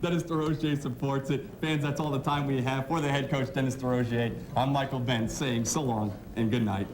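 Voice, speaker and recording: A man's voice: mid-range voice, fairly even intonation, a little fast, background noise. A man hosting a sports show with a polished announcer's delivery. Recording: crowd chatter behind the voice. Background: crowd chatter.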